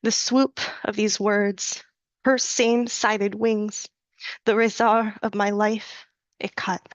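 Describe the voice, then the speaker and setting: Woman reciting poetry, deliberate and measured. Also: level tone, dry recording. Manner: slows and chants the Latin and prayer lines; soft, low-key asides between poems. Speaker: poet reading her own work. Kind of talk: live poetry reading. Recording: dull, dry lo-fi recording.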